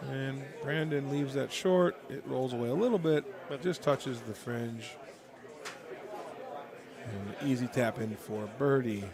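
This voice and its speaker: A man's voice: mid-range voice, unhurried. Chatty man calling live game play. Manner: chatty, joking, relaxed. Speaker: play-by-play commentator. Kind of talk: live esports commentary. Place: announcer's booth at a tournament. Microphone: booth microphone. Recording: busy event with crowd noise. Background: crowd chatter.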